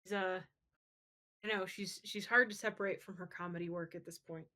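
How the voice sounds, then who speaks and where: A woman's voice: lowish voice, steady tone, fairly quick, bright, forceful tone. A woman co-hosting a podcast, chatting casually about pop culture in an informal, opinionated way. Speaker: podcast co-host. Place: live-streamed podcast.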